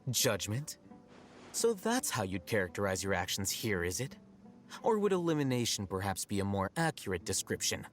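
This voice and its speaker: A cool, calculating young scholar from a video game. His voice sits fairly low, and he speaks in measured, formal sentences with dry sarcasm. Game music plays behind him. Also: sing-song. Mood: dry, sardonic. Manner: measured, formal, dryly sardonic. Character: calm, calculating young male scholar, aloof and shrewd. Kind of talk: scripted video game dialogue.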